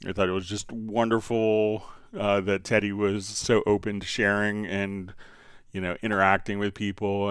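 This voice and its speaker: A man's voice: fairly low pitch, smooth, no echo, clean studio sound. An American man hosting a live stream in a casual, courteous, slightly rambling explanatory way. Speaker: live stream host, music software specialist. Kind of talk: casual tutorial live stream talk, answering viewer questions.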